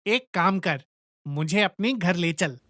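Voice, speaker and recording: A woman's voice: fairly low pitch, lively intonation, takes it slowly, loud music behind the voice. A woman voicing a worried, caring husband and father in a horror cartoon story, earnest and concerned.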